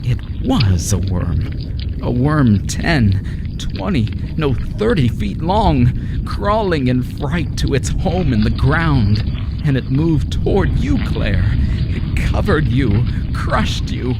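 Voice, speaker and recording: Slow, haunted, terrified man played by an actor. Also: mid-range voice, sing-song, loud music behind the voice, low-quality recording. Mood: anguished horror. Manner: anguished, halting, melodramatic monologue, dropping into whispers. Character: terrified, guilt-ridden man tormented by madness and doom. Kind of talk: old-time radio horror drama acting. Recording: heavy noise under the voice.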